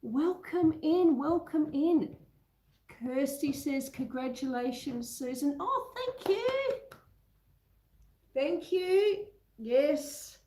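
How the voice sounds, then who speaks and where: Slow, mellow Australian woman, blocked up. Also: high-pitched, lively intonation, roomy sound. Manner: chatty, reading out and answering viewer comments. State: congested, blocked-up nose. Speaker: homesteading channel host. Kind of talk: casual livestream chat, greeting viewers. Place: indoors at home in a roomy space.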